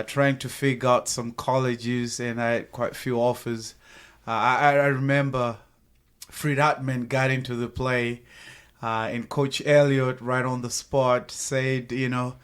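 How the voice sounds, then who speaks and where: A man's voice: medium pitch, steady tone, measured pace, smooth. A man in his thirties from Zimbabwe, with a Zimbabwean accent, talking earnestly and gratefully as a guest on a podcast. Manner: earnest, grateful, joking at times. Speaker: sales team guest. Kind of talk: casual podcast interview.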